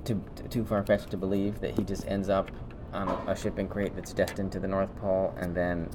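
Deep-voiced man over clear background noise. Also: level tone, a little fast. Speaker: podcast co-host. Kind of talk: casual chat.

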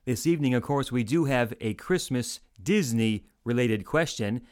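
A man's voice: mid-range voice, normal speed, a little mellow, clean tone. A man acting as show announcer, giving a scripted radio-style introduction to a segment.

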